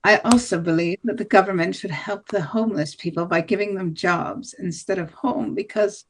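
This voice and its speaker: A woman's voice: medium pitch, steady tone, moderate pace, natural voice, rough recording. A woman reading the part of a blunt, hardline right-wing presidential candidate in an amateur play table read, with plain declarative delivery. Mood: firm.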